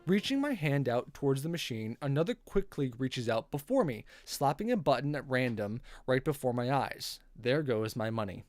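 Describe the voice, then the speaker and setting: Female streamer, lively swooping delivery, casual read-aloud with commentary over faint game music. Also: rumbling low, moderate pace, hardly any pauses, dry recording, clean studio sound. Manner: chatty, reacts to the story aloud.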